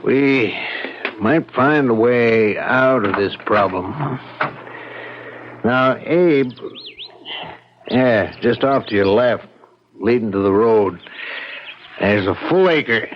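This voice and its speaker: A man's voice: medium pitch, extremely slow, pauses often, noisy background. A man playing a seasoned, worldly small-town lawyer in a radio drama, talking in a blunt, knowing, folksy way. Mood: persuasive. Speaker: actor. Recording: muffled radio drama recording.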